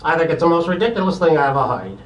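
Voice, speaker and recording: Wisecracking puppet professor played by a man, cocky and punning, higher-pitched, for live ventriloquist comedy, heard from afar in a noisy room. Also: sing-song, rapid-fire, distant mic, highs cut off. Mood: brash, indignant. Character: wisecracking puppet professor, brash, conservative, vain and quick-witted. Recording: roomy, noisy conference hall from afar.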